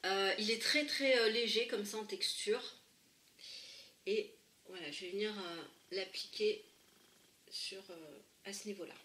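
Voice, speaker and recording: A woman's voice: medium pitch, measured pace, pauses often, pushed and bright. A woman with a head cold chatting through a makeup tutorial, hesitant and full of fillers and restarts, recorded at home. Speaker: beauty vlogger. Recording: echoey room, camera a bit far.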